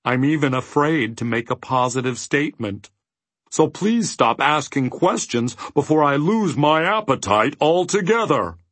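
A man's voice: mid-range voice, very animated, a little slow, a bit bright, no room sound. A man voicing a huge gelatinous giant in a storybook, ferocious and peevish but secretly timid and indecisive. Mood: peevish, dithering. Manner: bellowing, hedging, indecisive.

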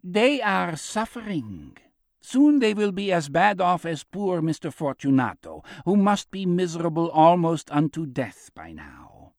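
A man's voice: high voice, very animated, measured pace, no echo, studio quality, pitched above the performer's own voice, heavily acted. A man voicing a shrewd older man who talks in a wry, knowing, teasing way. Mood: grave, knowing.

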